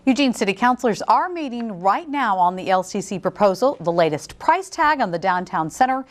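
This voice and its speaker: A woman's voice: mid-range voice, very animated, a little fast. An American woman delivering scripted television news as an anchor.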